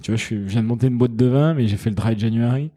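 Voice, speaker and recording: Mellow-voiced man explaining his venture. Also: mid-range voice, racing. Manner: earnest, explanatory, thinks aloud mid-sentence. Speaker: founder of a wine company, podcast guest. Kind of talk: casual interview chat.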